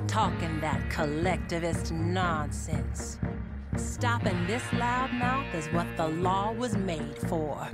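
An actress playing a folksy, wry frontier woman with an American accent, narrating for a western game. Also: on the low side. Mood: disdainful. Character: folksy, world-weary frontier woman briefing bounty hunters. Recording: loud music under the voice.

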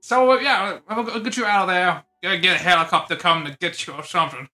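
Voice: mid-range voice, steady tone, fast, pushed and bright, roomy sound, faint music behind. An amateur dubber improvising a comedy fan dub in a rambling, silly way.

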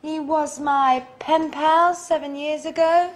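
An actress, playing a bubbly, flirtatious flatmate in a slow, exaggerated sitcom for English learners. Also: female voice, sits high, a little hiss or hum. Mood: reading aloud. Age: 20s. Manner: theatrical, deliberate, clearly enunciated. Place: indoor studio apartment set.

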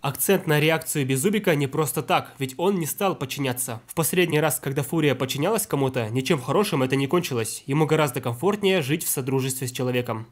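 A man's voice: on the high side, quick pace, few pauses. A man delivering scripted, analytical narration as a video essayist.

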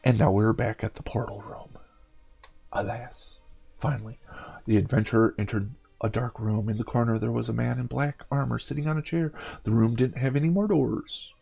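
A middle-aged man hosting a gaming show, reading a story aloud dramatically and dropping in wry, joking asides, with a gravelly, husky voice. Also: mid-range voice, steady tone, normal speed, warm tone, faint music behind.

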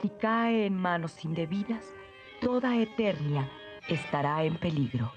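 A woman with a Latin American accent voicing a mystical guardian sorceress in a cartoon, grave and measured. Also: on the low side, animated. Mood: solemn. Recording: dull dubbed soundtrack with music.

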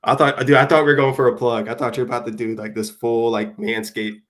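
A man's voice: medium pitch, little pitch movement, fast talker, slight background noise. A man in his thirties, a guest on a casual video call, talking in a rambling, self-deprecating way with lots of hesitant fillers. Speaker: guest dyer. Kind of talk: casual chat. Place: home room. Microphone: webcam on a video call.

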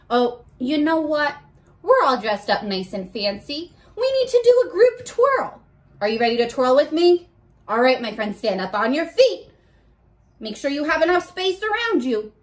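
A young woman leading a read-aloud lesson for children, talking to the camera in an animated, encouraging, child-directed way. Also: high voice. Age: young adult. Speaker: children's teacher. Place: bedroom at home, indoors.

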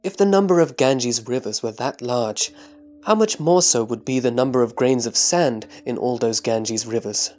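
A man playing an earnest, humble disciple in a scripture audio drama, answering in a reverent, deliberate, formal way. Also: mid-range voice, darkish tone, faint music behind, close to an everyday voice. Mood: respectful answering. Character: Subhuti, earnest humble male disciple of the Buddha.

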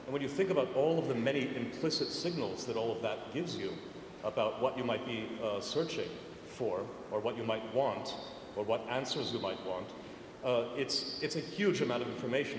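A man's voice: medium pitch, fairly quick. A man speaking energetically on a conference panel, with many fillers. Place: large conference hall. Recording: echoey conference hall, faint noise.

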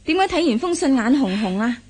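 High-voiced, probing mother. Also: sing-song, fairly quick, darkish tone. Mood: concerned. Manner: coaxing, knowing, inquisitive. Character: caring, probing mother sharing secrets with daughter. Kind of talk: Cantonese radio drama acting.

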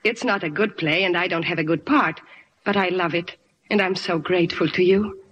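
A woman's voice: on the low side, normal speed, fairly bright tone. A woman playing an earnest, grateful young aspiring actress in an old-time radio drama, eager in manner. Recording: reverberant vintage radio recording.

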